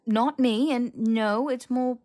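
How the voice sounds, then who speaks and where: A woman voicing an awkward, evasive young male wizard in audiobook dialogue, halting and hedging as they go. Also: fairly high pitch, darkish tone, pitched above the performer's own voice. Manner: halting, hedging, stumbling over phrases.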